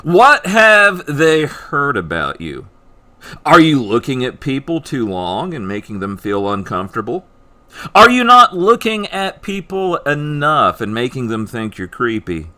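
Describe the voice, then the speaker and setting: A man reading his own book aloud in a measured, earnest way, addressing the listener directly. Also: on the high side, pushed and bright. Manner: measured, emphatic, second-person address. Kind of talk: slow earnest audiobook narration.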